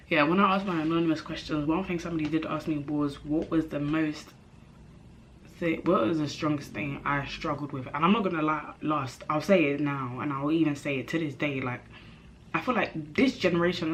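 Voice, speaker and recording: A young woman vlogging to camera in a chatty, animated way, her nose a bit stuffy from a cold. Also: low voice, a little hiss or hum, low-quality recording. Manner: chatty, animated, self-interrupting, slangy. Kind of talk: casual chat to camera.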